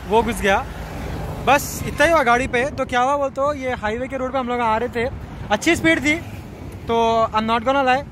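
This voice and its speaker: A man's voice: very high voice, moderate pace. A young man from India with a Hyderabadi accent, casually vlogging with emphatic, repeated phrases. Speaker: motovlogger. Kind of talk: casual vlog narration. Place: outdoors on a highway roadside and riding a motorbike. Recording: outdoor roadside and road noise.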